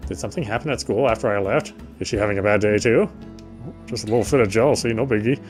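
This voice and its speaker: A man's voice: lowish voice, quick pace, soft background music. A man doing a let's play, reading game text aloud and adding casual, sardonic commentary. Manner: sardonic, informal. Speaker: let's player.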